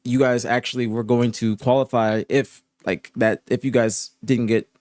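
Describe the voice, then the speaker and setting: A man's voice: medium pitch, little pitch movement, normal speed, darkish tone, no room sound. A man hosting a casual interview, easygoing and full of fillers and stumbling restarts. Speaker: interviewer, host.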